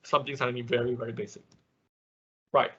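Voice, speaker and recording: A man who is a non-native English speaker with an accent, teaching an informal online technical class in a husky voice, explaining step by step with frequent check words. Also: medium pitch, level tone, fast talker, a bit bright. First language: not English. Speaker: instructor. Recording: dull, lo-fi online class audio.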